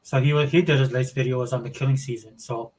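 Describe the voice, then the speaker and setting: A man's voice: mid-range voice, fairly even intonation, quick pace, darkish tone, low-quality recording. A man raised in the US South, originally from Hawaii, co-hosting a casual livestream chat, rambling and opinionated with frequent restarts. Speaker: co-host of a gaming podcast.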